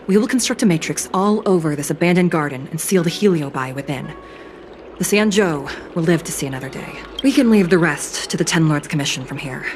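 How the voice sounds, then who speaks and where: A woman playing a composed, emotionless judge with an artificial body, speaking in a measured, formal way for a video game. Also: sits low, lively intonation, a little hiss or hum. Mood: grave, formal.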